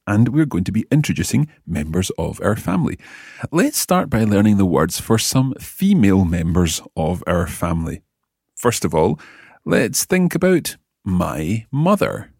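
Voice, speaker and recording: A man hosting a spoken language lesson, prompting a learner and pausing for listeners in a slow, patient way. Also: sits low, gravelly, darkish tone, husky, no room sound, studio quality. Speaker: language course host.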